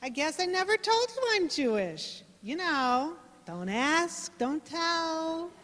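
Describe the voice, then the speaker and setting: A woman's voice: very high-pitched, big pitch swings, takes it slowly, fairly bright tone, exaggerated voice. A woman playing a brave gangster's moll in a 1930s-style radio comedy play. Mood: coy. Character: Dollface Esther, brave gangster's-moll queen pleading for her people. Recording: echoey hall, music.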